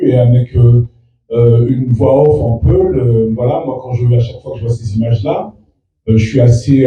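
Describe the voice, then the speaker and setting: A man, a native Fula speaker, answering press questions as an actor in a halting way with many restarts. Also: medium pitch, dark tone, muffled recording. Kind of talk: press interview. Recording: echoey room from far off.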